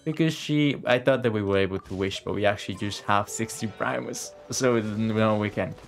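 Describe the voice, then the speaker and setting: A man's voice: on the low side, moderate pace, vocal fry, faint music behind. A man doing casual live game commentary as a streamer, chatty and informal, repeating himself and swearing lightly.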